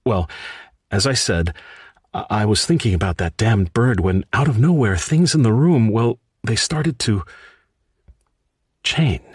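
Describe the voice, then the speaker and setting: An actor playing a grief-stricken, tormented man haunted by a raven, in a theatrical, halting, impassioned radio horror monologue. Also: on the low side, expressive, husky, no room sound. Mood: uneasy wonder. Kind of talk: dramatic monologue, old-time radio horror acting.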